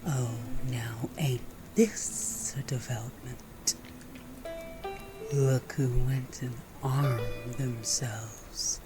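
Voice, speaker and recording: A man's voice: mid-range voice, fairly even intonation, drawn-out words, music in the background, low-quality recording. A man playing a flirtatious, roguish werewolf bounty hunter in a Western audio roleplay, with a teasing, theatrical Southern American drawl. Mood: menacing, teasing.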